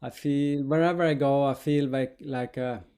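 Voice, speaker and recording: Guy, halting and repeating himself a lot, chatting on a call. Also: mid-range voice, steady tone, mellow, smooth. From Norway. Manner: hesitant, many restarts and repeated words. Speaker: interview guest, monk. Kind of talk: casual interview talk.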